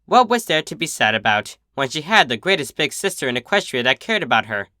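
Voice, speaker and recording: A man reading fan fiction aloud in an expressive storybook narration style. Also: on the high side, a little fast, dry recording, clean studio sound. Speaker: fan fiction reader, channel host.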